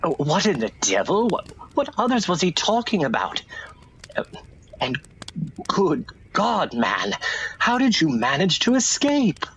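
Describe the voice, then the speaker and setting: A startled, blustering gentleman acted by a man in a radio play. He draws out his breathless, incredulous exclamations with extreme pitch swings. There is faint noise behind the voice. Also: huge pitch swings, a little slow, exaggerated voice, low-quality recording. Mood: baffled, astonished.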